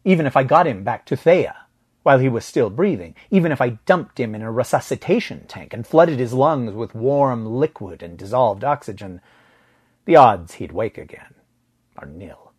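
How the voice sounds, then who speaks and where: A man reading first-person fiction as a narrator, in a measured, grave storytelling manner. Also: mid-range voice, expressive, darkish tone, slightly rough. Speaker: audiobook narrator.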